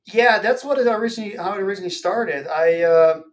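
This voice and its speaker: Halting man being interviewed. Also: high-pitched. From the Netherlands. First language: Dutch. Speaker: podcast guest, nutrition professor. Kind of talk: casual explanatory interview talk.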